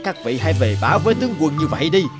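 A man's voice: fairly high pitch, very animated, racing, over loud music. A man voicing a loyal royal general, polite, respectful and formal, in a cartoon folk tale. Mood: firm, polite.

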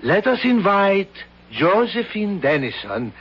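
A courtly grand duke. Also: male voice, sits high, sing-song, slow, husky, heavily acted. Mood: formal. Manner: formal, ceremonious phrasing. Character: courtly foreign grand duke, formal and polished. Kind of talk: 1970s radio drama acting. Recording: dull vintage radio broadcast.

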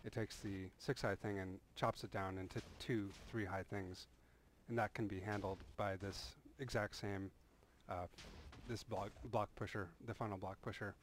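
Guy with a lowish voice walking thru a game build, loose and rambly, faint hiss behind. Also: normal speed. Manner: relaxed, rambling explanation with frequent restarts and "uh". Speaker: game tutorial creator. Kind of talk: casual voice-over walkthrough of a game build.

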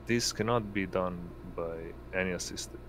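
A man running an online tabletop roleplaying game as game master, narrating in a halting way with pauses. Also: low-pitched, background noise. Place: indoors on an online voice call.

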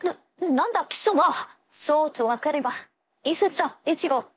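A proud young ice-wielding swordswoman from an anime fighting game. Her voice sits high for a female. She phrases everything in an old-fashioned, imperious way, stern and commanding. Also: lively intonation, normal speed, darkish tone, a little hiss or hum. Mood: brisk, bossy. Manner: archaic, haughty, commanding phrasing.

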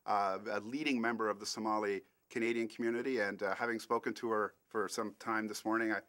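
A man's voice: lowish voice, little pitch movement, quick pace, a bit bright. A man emceeing an event, introducing the next speaker in a hesitant way, with many fillers.

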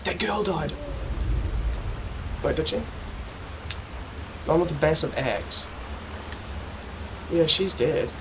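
A young man from New Zealand with a New Zealand accent, commentating a game casually while he has a cold, excitable and sweary with lots of fillers. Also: on the high side, slow, long pauses. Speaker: let's play gamer. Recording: distant mic with noisy background.

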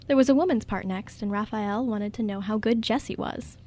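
A woman's voice: mid-range voice, fairly quick, darkish tone. A woman reading scripted story narration as the narrator of a radio drama.

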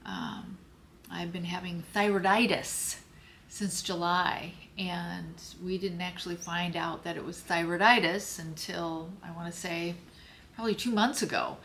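A hoarse older woman speaking slowly and haltingly to the camera from her home office, telling a personal health story to her viewers. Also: on the low side, fairly even intonation, fairly bright tone, a little hiss or hum. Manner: slow, halting, searching for words. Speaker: lifestyle vlogger.

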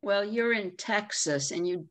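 A woman's voice: mid-range voice, measured pace, a bit bright. An older woman from Chicago asking a friendly question on a video call, with light laughs. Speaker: audience member asking a question. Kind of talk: casual discussion.

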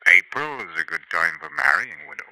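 An actor playing a scheming middle-aged highwayman and father who coaxes a widow in old country speech, in a 1950s radio drama. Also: big pitch swings, a little fast, pushed and bright, full character voice, rough recording. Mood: coaxing. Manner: wheedling, sly rural speech. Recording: dry vintage radio recording.

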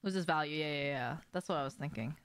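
Young woman, smooth clear tone, dry close sound, casual game stream chat, offhand, questioning. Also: low-pitched, fast talker, a bit bright, no room sound. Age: young adult. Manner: offhand, sweary, often asks questions. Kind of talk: casual chat with viewers while gaming. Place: small room at home, indoors.

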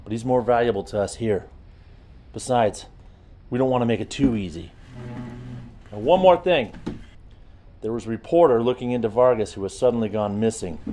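An actor playing a brisk, authoritative intelligence chief briefing his team in a video game cutscene, businesslike and commanding. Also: medium pitch, lively intonation, dark tone, slight background noise, natural voice.

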